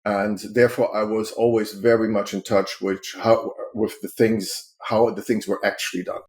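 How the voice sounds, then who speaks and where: Measured German-raised man, low voice. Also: level tone. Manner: measured, explanatory, with frequent fillers. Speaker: healthcare consultant. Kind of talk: interview answers.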